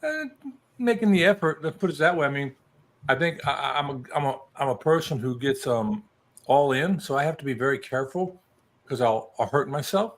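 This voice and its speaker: A man's voice: fairly high pitch, expressive, brisk. Man in his early sixties, chatting casually. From New Mexico, United States. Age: early 60s. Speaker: guest joining a live chat show. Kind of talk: casual chat.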